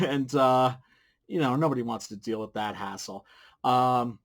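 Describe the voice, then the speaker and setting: A man's voice: medium pitch, measured pace, creaky. A middle-aged man hosting a casual how-to vlog, talking in a blunt, informal way. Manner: blunt, informal, mildly profane. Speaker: comic book creator and video series host. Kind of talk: casual instructional vlog to camera. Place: small wood-panelled room at home, indoors. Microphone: large studio mic on boom arm with pop filter.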